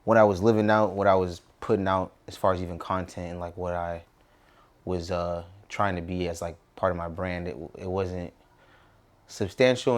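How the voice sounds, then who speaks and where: Man, twenties, American accent, very low pitch, casual interview guest, slangy, reflective. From Southern California, United States. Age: 20s.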